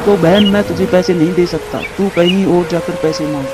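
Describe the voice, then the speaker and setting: A man's voice: sits high, steady tone, normal speed, noisy background, lightly acted. A man voicing a stingy, scheming crow in a children's cartoon, with a blunt, dismissive manner. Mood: cold, refusing. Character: stingy, scheming male crow, stern older brother. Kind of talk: Hindi children's cartoon voice acting. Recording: music bed behind the voice.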